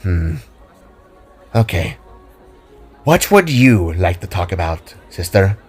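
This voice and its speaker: A man's voice: deep voice, sing-song, slow pace, breathy edge, soft background music, voice pushed down, exaggerated voice. A man voicing an upbeat, caring female kitsune, an older sister who coaxes playfully, in a narrated story. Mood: gentle concern.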